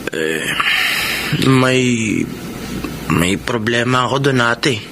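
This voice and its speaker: A man's voice: mid-range voice, lively intonation, extremely slow, noisy background. A man acting a sly, irresponsible young gambler and younger brother in a Filipino radio drama. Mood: sheepish, evasive.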